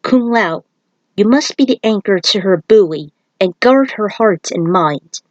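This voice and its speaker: A woman's voice: mid-range voice, lively intonation, unhurried, no room sound, lo-fi sound, natural voice. A woman voicing a solemn male thunder god who speaks in a grave, formal way, as in a storybook. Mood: solemn, instructive. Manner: grave, formal, stately phrasing.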